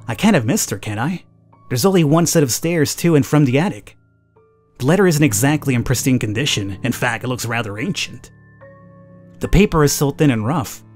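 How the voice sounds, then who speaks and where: Male let's play host, mid-range voice, dramatic reading mixed with casual commentary, soft game music underneath. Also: medium pitch.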